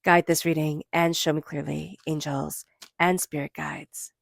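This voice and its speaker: A woman with a stuffy cold giving a spiritual tarot reading, gentle and meandering, slowing into soft chanted invocations. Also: sits low, level tone, vocal fry, dry recording, clean studio sound. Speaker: tarot reader.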